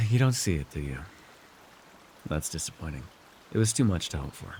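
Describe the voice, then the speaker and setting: A scheming dark sorcerer ruler, acted by a man, speaks in a low and very animated voice over noticeable background noise. He is smug, coercive and possessive, talking down to the listener with theatrical pauses and sighs in an immersive fantasy roleplay. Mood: condescending, brooding.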